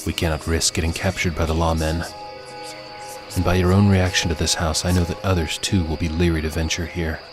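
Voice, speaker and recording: A man's voice: sits low, flat delivery, brisk, over music, natural voice. A man voicing a solemn, unshakeable time traveler in an audio story, speaking in grave, formal, archaic phrases. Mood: grave, resolute.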